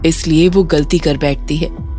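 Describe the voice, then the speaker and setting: A woman's voice: sits low, fairly even intonation, unhurried, mellow. A woman narrating a scripted children's story as a storyteller. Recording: music bed under narration.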